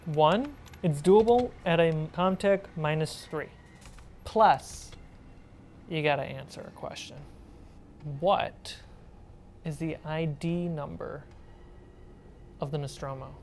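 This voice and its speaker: A woman's voice: low voice, slow, long pauses, darkish tone, slight background noise. A woman narrating a tabletop role-playing game as game master.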